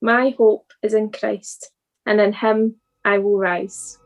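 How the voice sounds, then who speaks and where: A woman reading a scripted church devotional in an earnest, deliberate manner. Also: medium pitch, level tone, low-quality recording.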